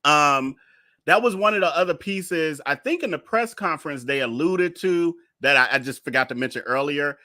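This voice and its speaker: A man's voice: a little high, moderate pace, bright, forceful tone, dry recording, studio quality. A man commentating casually on a news story while he is losing his voice. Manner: chatty, thinks aloud while searching for clips. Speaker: YouTube commentator.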